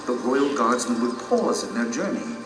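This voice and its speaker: A man acts as a storyteller recounting an epic fantasy journey. He is theatrical and builds suspense. His voice sits in a roomy space under loud music. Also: mid-range voice, very animated, normal speed, natural voice. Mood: dramatic, wondrous. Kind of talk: dramatic audio drama narration. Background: dramatic music.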